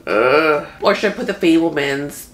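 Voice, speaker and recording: A woman in her thirties talking casually as a movie vlogger, thinking out loud in an informal, conversational way. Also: sits low, lively intonation, moderate pace. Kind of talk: casual chatty commentary. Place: small room at home. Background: background music.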